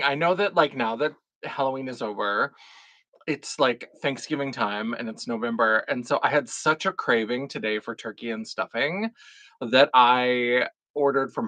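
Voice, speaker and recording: A man's voice: mid-range voice, sharp tone, no room sound. A man who performs in drag, hosting a casual live unboxing stream with a froggy, phlegmy throat, chatty and swearing now and then. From North Dakota. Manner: chatty, swears casually, greets chat viewers. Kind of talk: casual livestream chat.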